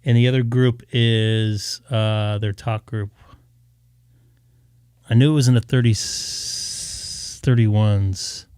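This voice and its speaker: A man's voice: fairly low pitch, fairly even intonation, takes it slowly, pauses often, darkish tone, clean tone, dry recording. Male streamer, tired, chatty, fillers, clean resonant voice, dry room. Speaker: ham radio live streamer. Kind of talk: casual live stream chat.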